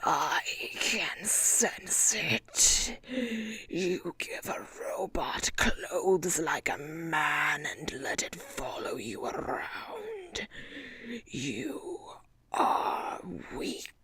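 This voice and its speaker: Woman whispering as a croaking ancient tycoon. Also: low-pitched, very animated, pushed and bright, voice pushed down, heavily acted. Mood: contemptuous. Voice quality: slow breathy whisper, croaking. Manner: contemptuous, sneering. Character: ancient withered male tycoon, cruel, scornful.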